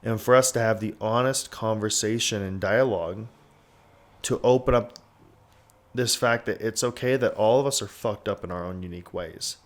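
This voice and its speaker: A man's voice: on the low side, normal speed. A man in his twenties hosting a podcast, talking candidly and rambling with lots of "like" and frequent swearing. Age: 20s. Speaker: podcast host. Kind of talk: candid casual podcast talk.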